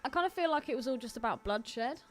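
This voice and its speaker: A woman's voice: high voice, lively intonation, fast talker, soft background music. A woman co-hosting a podcast, chatting informally and enthusiastically about films. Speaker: podcast co-host. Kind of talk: casual film podcast chat.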